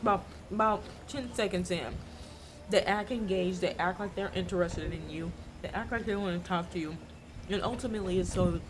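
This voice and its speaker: A woman's voice: fairly low pitch, moderate pace. An American woman giving casual travel advice to the camera in an informal, emphatic, advisory way. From United States. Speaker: travel vlogger. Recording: clear background ambient noise.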